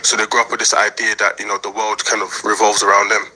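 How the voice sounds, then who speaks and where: Brief: twenties male co-host from Nigeria, deep and husky, bright edge, measured delivery. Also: little pitch movement, poor audio. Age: 20s. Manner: measured, hesitant, with fillers. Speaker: podcast co-host. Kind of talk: casual panel discussion.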